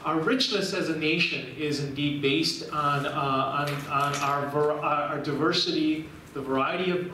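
Middle-aged man, slightly high voice, public lecture, frequent restarts, distant camera mic. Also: a little hiss or hum. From Cuba. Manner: warm, rambling with frequent restarts. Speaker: guest lecturer. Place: large room with projection screen, indoors. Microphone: far camera. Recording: very echoey room, recorded from afar.

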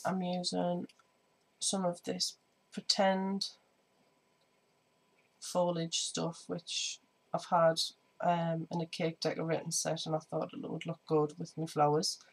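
Slightly croaky woman, flat delivery. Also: fairly low pitch, slow, poor audio. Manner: chatty, many ums, hands busy while talking. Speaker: scrapbooking vlogger. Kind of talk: casual crafting tutorial. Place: indoor home craft table. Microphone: overhead camera on desk, speaker off screen.

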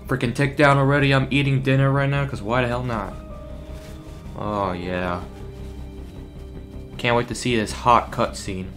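A man's voice: medium pitch, steady tone, a little slow, long pauses, a bit bright. Young male YouTuber, mid-range voice, informal and exclamatory gaming commentary over faint music. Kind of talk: casual game reaction commentary.

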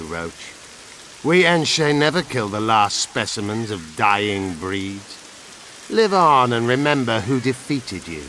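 An actor playing a proud, menacing elven guerrilla commander in a video game, haughty and deliberate. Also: male voice, lowish voice, bright, forceful tone, full character voice, poor audio. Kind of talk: video game dramatic acting. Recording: clear rain and hiss behind.

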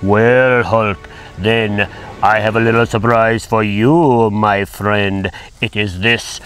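A pompous villain warlord gloats in a low, slow voice, breaking into booming ho-ho laughs and talking about himself in the third person, as a man puts him on during toy play. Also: fairly even intonation, over music, rough recording. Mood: gloating.

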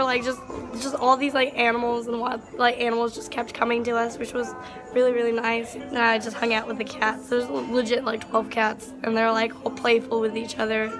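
A young woman with a raspy, strained voice, chatting casually in a travel vlog, rambling with lots of fillers, filmed on a phone at arm's length. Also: on the high side. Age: young adult. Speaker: vlogger. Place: hotel room and outdoor terrace on an island. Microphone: phone camera at arm's length. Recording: ukulele music underneath.

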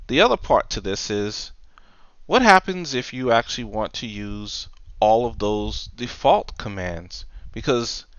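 A man giving a casual software tutorial, explaining things step by step, close on the mic in a voice-over recording. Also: lowish voice, clear, resonant tone, dry recording, lo-fi sound. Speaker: tutorial presenter.

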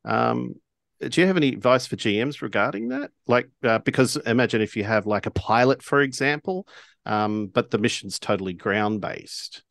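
Podcast host guy, lowish voice, dry close sound, lots of ums. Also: expressive, creaky, a bit bright, no room sound. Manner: halting, many fillers. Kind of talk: casual podcast conversation.

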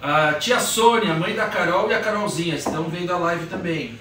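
A man's voice: sits high, fairly bright tone, far from the mic. A man producing a live cooking show, reading out viewer messages to the host. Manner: relays viewer messages, brisk. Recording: echoey room, off-mic, audible noise.

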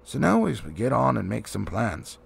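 A man voicing a wise, assured old male wizard who explains things in a measured way in a storybook reading. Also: medium pitch, big pitch swings, vocal fry, slightly rough, exaggerated voice. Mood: frank, persuasive. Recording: faint background noise.

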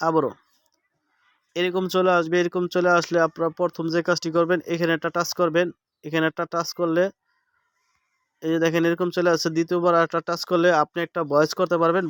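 A man narrates a casual how-to in a high, smooth voice. He talks fast and instructs step by step, often checking that the listener follows. The recording is dry and close. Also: no room sound. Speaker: app tutorial host.

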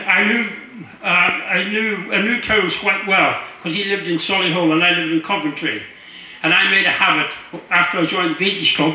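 An older man speaks informally to a club gathering, wandering through his stories. His voice sits high for a man. It comes from a distance, heavily echoing, with clear background noise. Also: sharp tone. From Ireland. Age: 60s or older. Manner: rambling, storytelling. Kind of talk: informal anecdotal speech to a gathering. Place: inside a marquee at a club rally. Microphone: handheld microphone through PA, captured by distant camera. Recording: echoey, noisy PA recorded from far off.

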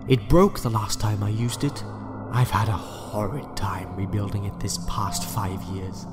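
An actor in an audio drama playing an ancient, sinister scientist emperor with a measured, condescending courtesy. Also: male voice, fairly low pitch, steady tone, over music, lightly acted. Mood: coolly polite. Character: ancient, sinister male scientist emperor, coldly polite villain.

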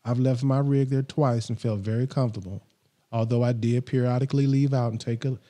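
A middle-aged man hosting a podcast from a small home studio, reading a countdown list in a steady, scripted way into a studio microphone. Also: mid-range voice, brisk. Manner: steady, read-from-script delivery. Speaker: podcast host. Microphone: studio mic on boom arm, headphones on.

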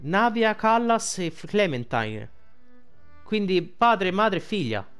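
A man's voice: high voice, sing-song, slow pace, fairly bright tone, clean tone, soft background music. A man giving casual, rambling, sweary game commentary as a streamer. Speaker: gaming streamer.

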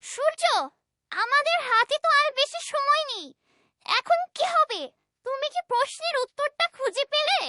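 Tiny, squeaky childlike cartoon companion. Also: female voice, moderate pace, bright, forceful tone, dry recording, studio quality. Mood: anxious. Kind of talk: cartoon voice acting and narration.

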